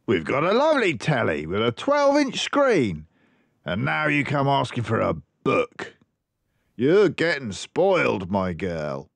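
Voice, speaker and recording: A man's voice: mid-range voice, huge pitch swings, measured pace, gravelly, dry recording, pitched above the performer's own voice, heavily acted. Man putting on an irritable, scornful storybook dad. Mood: scornful, exasperated. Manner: scornful, exasperated. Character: gruff, dismissive, irritable father in a storybook.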